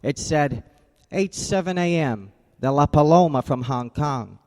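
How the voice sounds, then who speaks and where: An actor playing a tough, wisecracking private eye who talks in terse, sardonic lines and narrates in a hard-boiled style. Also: male voice, on the high side, unhurried, darkish tone, lightly acted. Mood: narrating.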